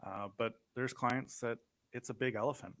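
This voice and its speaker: A man's voice: medium pitch, steady tone, moderate pace, creaky, fairly bright tone. A man chatting casually on a panel as a financial advisor.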